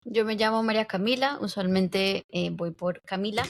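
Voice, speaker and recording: A young woman raised in the United States, a podcast guest speaking reflectively in a casual interview, pausing often with fillers. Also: medium pitch, gravelly. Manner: reflective, hesitant with many fillers.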